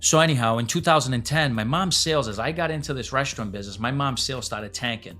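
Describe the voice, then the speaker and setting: A man's voice: mid-range voice, fast, sharp tone, faint music behind. A man in his forties telling his business story as a podcast guest, blunt and casual, swearing freely. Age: 40s. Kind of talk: candid storytelling interview.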